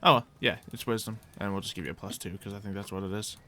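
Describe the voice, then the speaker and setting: A man's voice: lowish voice, fairly even intonation, fast, sharp tone. A man narrating as game master in a loose, rambling way, full of fillers.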